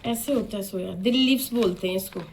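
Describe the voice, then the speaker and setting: A middle-aged woman answering questions quietly and plainly, heard from a little way off the mic. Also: medium pitch, fairly quick, slight background noise. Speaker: student's mother.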